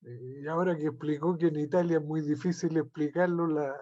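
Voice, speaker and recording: A man's voice: a little high, steady tone, brisk, warm tone. A man organizing a talk series, giving informal closing thanks on a video call. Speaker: event organizer. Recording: muffled video call audio.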